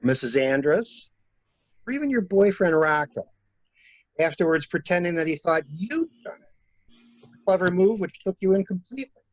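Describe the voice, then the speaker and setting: A man playing a calculating murderer who poses as a helpful investigator, musing theatrically in measured phrases in an amateur mystery play. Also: high voice, lively intonation, dry recording, low-quality recording. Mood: musing, insinuating. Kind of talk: amateur radio-play style mystery acting.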